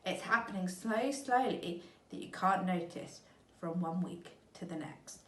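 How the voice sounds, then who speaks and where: A woman teacher reading a storybook aloud to children, expressive and speaking straight to them. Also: on the low side, a little slow. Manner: expressive, addresses young listeners. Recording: echoey room, mic at a distance.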